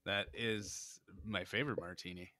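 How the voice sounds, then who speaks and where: A man's voice: on the low side, normal speed, no room sound, clean studio sound. A man co-hosting a casual podcast, laughing often and joking with his friend. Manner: laughs often, animated, bright. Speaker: podcast co-host. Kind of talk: casual chat.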